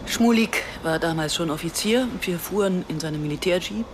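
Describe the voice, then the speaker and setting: A woman's voice: fairly low pitch, fairly quick, noisy background, close to an everyday voice. An actress playing an Israeli woman writer in a naturalistic radio drama, teasing and a little awkward. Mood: reflective.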